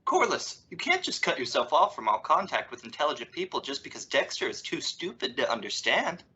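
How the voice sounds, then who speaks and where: A woman's voice: low-pitched, big pitch swings, fairly quick, sharp tone, breathy edge, full character voice. A woman playing a wry, exasperated but loving middle-aged father in an old-time radio sitcom, with emphatic, sarcastic delivery. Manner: dry sarcasm with emphatic swoops. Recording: dull, lo-fi audio.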